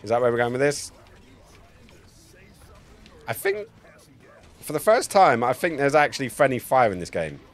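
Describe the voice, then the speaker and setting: Sweary, animated man live-streaming a video game. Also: medium pitch, moderate pace, long pauses, soft background music. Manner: sweary, jokey, rambling. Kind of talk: casual live-stream gameplay commentary and chat. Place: indoor home streaming setup. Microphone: close streaming mic on a boom arm.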